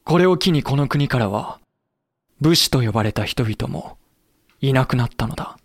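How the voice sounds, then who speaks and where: A young actor playing a loyal samurai officer who narrates soberly and pleads with fiery passion. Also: male voice, mid-range voice, expressive, normal speed. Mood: sober narration. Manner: passionate, rising to near shouting when pleading. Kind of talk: audio drama acting and period narration.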